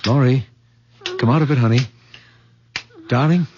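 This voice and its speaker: A man's voice: medium pitch, moderate pace, a little mellow, a little hiss or hum, lightly acted. A man acting as an earnest young fiancé in an old radio mystery. His mid-range voice is careful and patient, with the muffled sound of a vintage recording. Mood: concerned.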